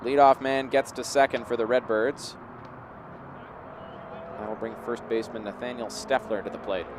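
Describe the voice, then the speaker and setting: A man's voice: mid-range voice, steady tone, normal speed. Man calling baseball, noisy outdoor background. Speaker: play-by-play baseball commentator. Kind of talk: live sports commentary. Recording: clear outdoor background noise.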